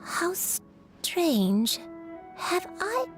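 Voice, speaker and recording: Slow, frail old granny. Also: female voice, extremely high pitch, very animated, soft background music, full character voice. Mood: puzzled. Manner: slow, wistful, halting. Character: frail forgetful old woman, gentle and resigned. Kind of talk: anime game voice acting.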